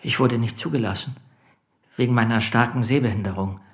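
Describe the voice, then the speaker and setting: A man's voice: medium pitch, a little fast, natural voice. An actor playing a meek, cornered man of forty in a German radio play, subdued, deferential and hesitant. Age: 40s. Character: meek, cornered forty-year-old male stamp collector.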